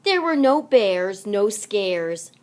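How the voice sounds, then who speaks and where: A woman narrating a children's read-along story aloud in an expressive, deliberate manner. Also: medium pitch. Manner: deliberate, animated delivery for children.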